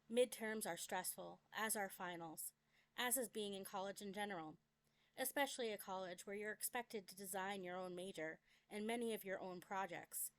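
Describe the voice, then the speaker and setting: A young adult woman reads her own memoir aloud as a scripted dramatic narration, with a deliberate, expressive delivery. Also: mid-range voice. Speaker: memoir author reading own work.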